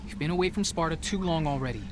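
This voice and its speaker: A man's voice: on the high side, fast, background noise, lightly acted. A young man playing a stern, dutiful older brother and Spartan warrior in a video game, curt and commanding with formal phrasing. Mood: impatient.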